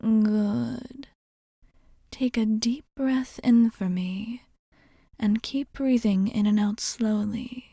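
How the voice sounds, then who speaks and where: Female actor as calm vampire bartender, ASMR roleplay: mellow, whispery, unhurried, reassuring and formal. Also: fairly high pitch, dry recording, clean studio sound. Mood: hushed, soothing. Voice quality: soft, breathy whispering. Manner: slow, soothing, formal and reassuring.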